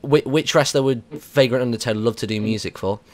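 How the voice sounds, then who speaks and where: A man's voice: medium pitch, fairly quick, a little mellow. A British man hosting a casual radio interview, chatty and informal, rambling a little as he sets up questions.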